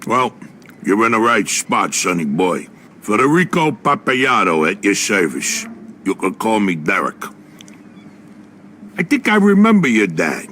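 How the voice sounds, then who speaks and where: A brash dockside boss from a video game. His voice is lowish and very animated, with a forceful edge. He is casual and bossy, used to ordering people around. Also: a little slow, slight background noise, full character voice. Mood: breezy, bossy. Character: brash, casual American waterfront boss with a big appetite. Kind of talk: video game cutscene acting.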